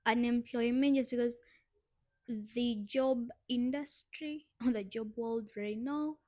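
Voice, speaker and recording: A woman's voice: on the high side, steady tone, slow, a little mellow, dry recording, clean studio sound. A young woman co-hosting a casual podcast chat, reading prompts aloud and laughing often. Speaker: podcast co-host.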